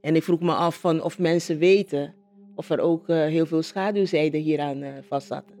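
A woman, a city councillor, recalls things in a spontaneous interview, pausing to search for words. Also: low voice, steady tone, mellow.

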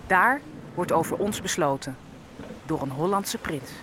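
A woman's voice: on the low side, lively intonation, unhurried, background noise. An actress playing a grieving enslaved African woman held on a ship, telling her story solemnly and poetically in a radio play. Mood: solemn, bleak. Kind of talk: dramatic first-person radio play narration.

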